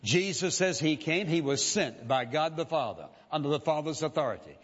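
A man preaching a sermon as a pastor, measured and emphatic, repeating key phrases for weight. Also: fairly high pitch, lively intonation, slightly rough. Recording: dull lo-fi sound with long hall reverb.

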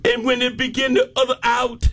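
A man's voice: very high voice, completely flat delivery, brisk, fairly bright tone. A man with an American accent preaching a fervent sermon, often breaking off to exclaim praise in an impassioned way. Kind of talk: fervent sermon with call and response.

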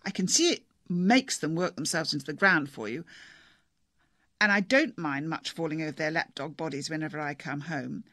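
A man voicing a bitterly angry wife shouting at her husband. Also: a little high, normal speed, no room sound, clean studio sound. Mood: furious. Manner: furious, shouting.

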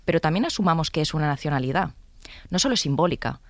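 A woman's voice: deep voice, animated, dry recording. A woman, arguing a political point as a commentator on a radio panel. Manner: fast, forceful argumentation. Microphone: studio microphone.

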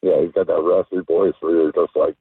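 A man with a raspy voice calling into a radio show, chatting in a casual, friendly way. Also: low-pitched, little pitch movement, fairly quick, no echo. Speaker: radio caller. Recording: tinny phone line.

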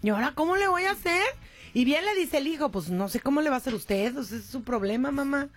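Lively high-voiced woman hosting radio. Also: fast talker, soft background music. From Mexico. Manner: lively, gossipy, says "corazón" often. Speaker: radio show host. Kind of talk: casual radio chat.

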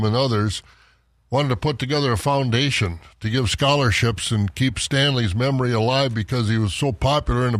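Man, farm radio host, plain steady read, dry studio sound. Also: medium pitch, no echo. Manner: plain, steady market readout with fillers. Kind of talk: farm radio market report and chat.

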